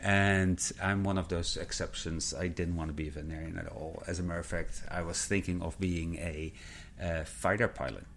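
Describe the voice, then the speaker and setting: A man's voice: sits low, fairly quick. A man with a Dutch accent, chatting casually as a podcast co-host and laughing easily between words. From Netherlands. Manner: relaxed, chuckles often, repeats words.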